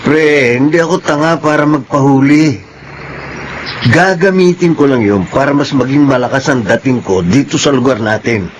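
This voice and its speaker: An actor playing a burly, boastful, threatening husband and street extortionist in a Filipino-accented radio drama. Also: male voice, medium pitch, moderate pace, a little hiss or hum, lightly acted, rough recording. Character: tall, burly, bullying husband and swaggering neighbourhood extortionist. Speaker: radio drama actor and first-person narrator. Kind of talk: Philippine radio drama acting.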